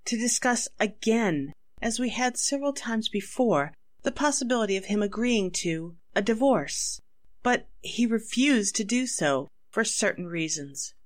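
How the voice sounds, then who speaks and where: A woman acting a suspected widow in an old radio mystery. Her slightly high voice moves expressively, formal and defensive in tone. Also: expressive, a little slow. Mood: composed, defensive. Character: composed, indignant widow suspected of murder. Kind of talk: 1940s radio drama acting.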